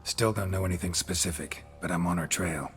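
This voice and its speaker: An actor playing a gruff, stoic monster hunter in a fantasy game, terse and dryly deadpan. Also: male voice, deep voice, brisk, natural voice. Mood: calm. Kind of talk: video game voice acting. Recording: loud music under the voice.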